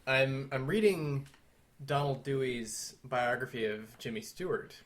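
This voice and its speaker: A man co-hosting a podcast, talking through film history conversationally in a measured, explanatory and thoughtful way. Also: medium pitch, fairly even intonation, slight background noise. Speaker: podcast co-host.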